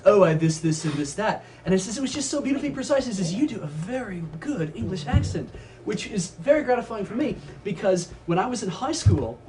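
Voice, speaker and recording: A man's voice: high voice, fairly quick, slight background noise, rough recording. A man chatting casually as a charity stream co-host. He tells stories and often stammers through restarts. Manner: animated storyteller, stammering restarts. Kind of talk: casual chat.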